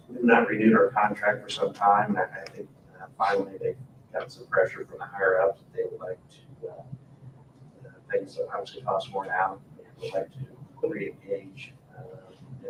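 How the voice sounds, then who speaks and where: A man presenting agenda items informally at a council meeting, in a halting, matter-of-fact way. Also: sits low, completely flat delivery. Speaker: town staff member presenting agenda items. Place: indoor town council meeting room. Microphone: distant room microphone. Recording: echoey meeting room, distant mic, faint noise.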